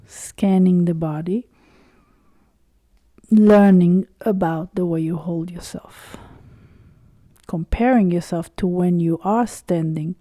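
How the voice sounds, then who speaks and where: Female meditation guide, low-pitched and very soft-toned, soothing delivery in short phrases, recorded close and dry. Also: dry recording. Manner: soothing, unhurried, with long pauses between short phrases. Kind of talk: slow guided body-scan meditation.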